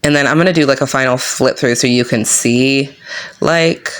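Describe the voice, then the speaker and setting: A woman speaking in a low, flat, monotone voice, casually talking a viewer through her reading journal while she works on it. She is unhurried and relaxed, with lots of "um" and "like" filling her sentences. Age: adult with young kids. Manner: unhurried, filler-heavy, lots of "um" and "like". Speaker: book journaling vlogger. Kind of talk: casual chat while crafting.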